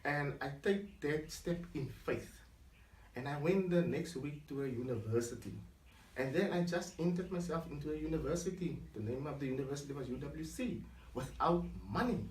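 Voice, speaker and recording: A man's voice: on the high side, lively intonation, normal speed, a little mellow. A South African man in his forties whose first language is Afrikaans, earnestly telling his life story as an interview guest. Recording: echoey room, mic at a distance.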